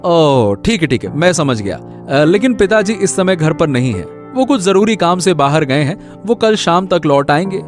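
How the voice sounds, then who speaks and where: A man playing a caring, gently scolding elder brother, a landlord's son, in a moral story. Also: on the high side, sing-song, moderate pace, hardly any pauses, soft background music. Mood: polite.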